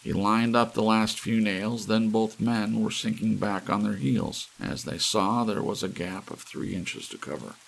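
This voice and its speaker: A man's voice: fairly low pitch, a little slow, vocal fry, hardly any pauses. A man narrating a science fiction story as an ASMR audiobook. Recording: faint hiss with soft rain. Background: soft rain.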